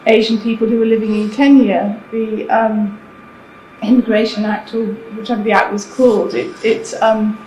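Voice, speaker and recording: A woman panelist speaks in a measured, explanatory way. Her pitch sits on the high side and barely moves. The hall adds a roomy echo and faint background noise. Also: flat delivery, darkish tone. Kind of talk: panel discussion remarks.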